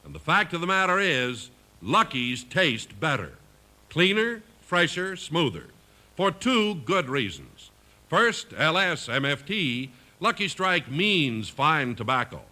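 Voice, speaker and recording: A man's voice: on the high side, sing-song, takes it slowly, bright, forceful tone. A man announcing and reading ads in an emphatic, polished 1950s radio style. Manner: emphatic, polished sales delivery. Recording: old 1950s radio recording, faint music.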